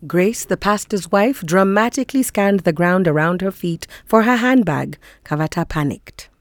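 A woman's voice: fairly low pitch, animated, moderate pace, no room sound. A woman reading scripted narration from a novel.

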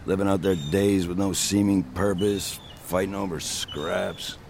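Low-voiced desperate washed-up guy. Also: little pitch movement, unhurried, a little mellow, slight background noise. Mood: resigned, sardonic. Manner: slangy, foul-mouthed, pleading. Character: desperate washed-up actor friend, pleading and bitter. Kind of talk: naturalistic audio drama acting.

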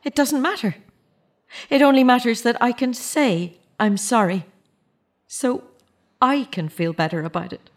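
A grieving middle-aged mother in an audio drama. She speaks in an anguished, halting way, blaming herself, with the natural delivery of everyday speech. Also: fairly high pitch. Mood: remorseful, subdued. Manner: anguished, self-accusing, halting. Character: guilt-racked grieving mother of a dead son.

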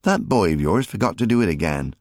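A man's voice: low voice, expressive, a little fast, a little mellow, no echo, studio quality. A man voicing a firm, fond country wife and mother in an audiobook, scolding in folksy, no-nonsense speech. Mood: brisk, fond. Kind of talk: audiobook character acting.

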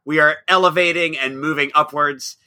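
A man's voice: on the high side, steady tone, normal speed, bright, forceful tone, no echo, clean studio sound. A man co-hosting a casual podcast, upbeat and teasing, laughing often. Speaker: podcast co-host.

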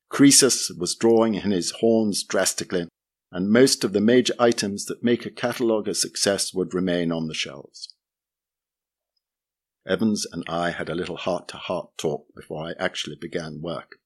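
A man with a fairly low voice reads a memoir chapter aloud. His delivery is measured and understated, with a dry, wry edge.

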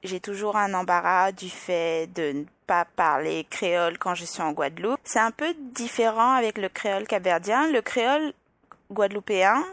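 Parisian woman, kinda low and gravelly, talks it through slowly w lots of restarts, dry close sound. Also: fairly bright tone, no echo. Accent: Parisian French. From Île-de-France, France. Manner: thoughtful, with frequent restarts and hesitations. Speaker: podcast guest. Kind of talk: reflective personal interview.

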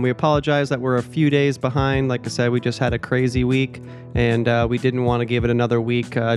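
A man co-hosting a podcast, introducing the episode hesitantly with many fillers. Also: mid-range voice. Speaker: podcast co-host.

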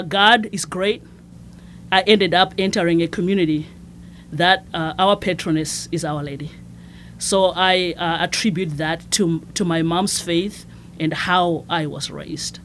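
Soft-spoken woman from Zimbabwe, a nun. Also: on the low side, slight background noise. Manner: soft-spoken, hesitant with many fillers and restarts. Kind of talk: conversational interview.

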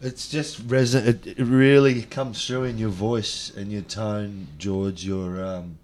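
Low-voiced male radio interviewer. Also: fairly even intonation, slow pace, darkish tone. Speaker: radio host. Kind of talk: interview questions.

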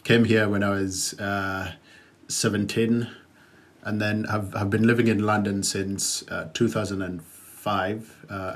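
A man, a podcast guest who speaks thoughtfully and hesitantly, with many fillers and "right?" tags. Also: lowish voice. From Uganda. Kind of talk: conversational podcast interview.

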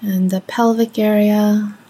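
A soft-spoken woman leading a guided meditation in gentle, measured phrases with long pauses. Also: medium pitch, little pitch movement, breathy edge.

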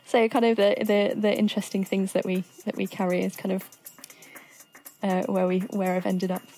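A woman's voice: mid-range voice, level tone, moderate pace, mellow, faint music behind, poor audio. A young woman who works as a theatre dresser, talking in a casual, rambling way with many restarts. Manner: rambling, full of restarts and fillers. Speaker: theatre dresser and former student. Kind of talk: casual interview answers.

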